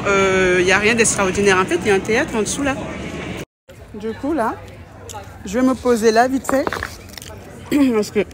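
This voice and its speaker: A young woman vlogging in a casual, chatty, slangy way while out and about in a city. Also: medium pitch. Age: young adult. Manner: informal, chatty. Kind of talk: casual chat to camera, French slang. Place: out in a city at busy night venues. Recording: noisy outdoor crowd and street ambience.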